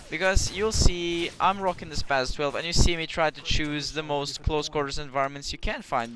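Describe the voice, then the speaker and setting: Rambling young man with Norwegian accent. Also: fairly high pitch. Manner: rambling, casual, swears, many restarts. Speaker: gameplay commentator. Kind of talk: casual gameplay commentary. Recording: clear background hiss.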